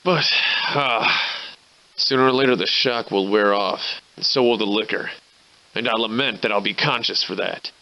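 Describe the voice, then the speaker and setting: Victorian gentleman adventurer, wry, weary, foul-mouthed, florid old-fashioned speech, theatrical game acting. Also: lowish voice, moderate pace, vocal fry, lo-fi sound.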